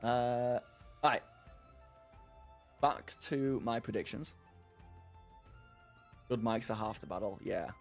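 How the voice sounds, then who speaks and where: A man's voice: medium pitch, slow pace, pauses often, faint music behind. Rambling, laughing male streamer. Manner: rambling, self-deprecating, laughs often. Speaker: streamer hosting a live chat. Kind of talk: casual live-stream chat, answering viewers.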